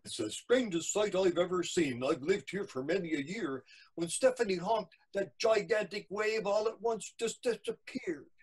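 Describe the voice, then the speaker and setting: A man's voice: high voice, normal speed, breathy edge, voice pushed up, low-quality recording. A man voicing an amazed longtime townsperson, earnest and marveling, in a storybook poem.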